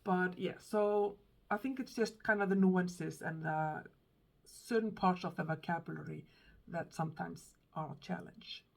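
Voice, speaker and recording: A woman's voice: lowish voice, unhurried, vocal fry, a little mellow. Icelandic woman in her 30s chatting about books, lots of ums and restarts, thinking as she goes. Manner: thoughtful, hesitant, many fillers and restarts. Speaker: book vlogger. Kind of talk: casual chat to camera.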